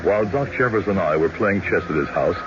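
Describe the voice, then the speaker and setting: An actor playing a plain-spoken frontier judge who narrates events in measured storytelling for a radio drama. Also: male voice, low voice, lively intonation, heavy background noise. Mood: reflective. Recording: dull old radio recording. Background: music.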